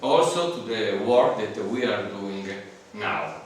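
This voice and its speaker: Lecturer, male, Italian accent, low voice, halting delivery, heard from a distance. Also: lively intonation, slight background noise. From Italy. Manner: halting, many fillers and restarts. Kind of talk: guest seminar lecture in English. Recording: echoey hall from far off.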